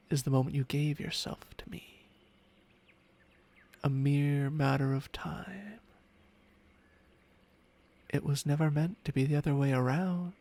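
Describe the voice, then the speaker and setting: A man playing a smug, possessive ancient dragon familiar in an intimate ASMR roleplay, teasing and doting in breathy murmurs that slip into whispers. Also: on the high side. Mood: smug, condescending. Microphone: close-up microphone with spatial panning.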